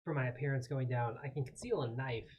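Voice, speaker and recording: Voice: mid-range voice, brisk, darkish tone, slight background noise, poor audio. A player chatting casually and planning moves during a tabletop roleplaying game. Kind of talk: casual chat.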